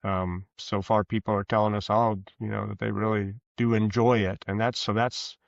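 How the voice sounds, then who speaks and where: A man answering interview questions as a guest author, conversational, with rambling, halting explanations. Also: fairly low pitch, little pitch movement, creaky, no room sound. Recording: dull, lo-fi sound.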